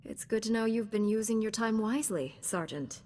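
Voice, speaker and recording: A woman's voice: a little high, moderate pace, warm tone, lightly acted. Woman playing a composed space knight in reverb. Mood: tactful, measured. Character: composed, kindly female Jedi, dryly tactful leader. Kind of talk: scripted video game acting.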